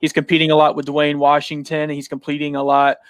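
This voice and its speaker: A man's voice: fairly high pitch, quick pace, no echo. A man talking casually as a podcast guest about football, leaning on fillers like "you know". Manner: relaxed, filler-heavy, says "you know" a lot. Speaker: podcast guest, sports writer. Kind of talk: casual sports chat.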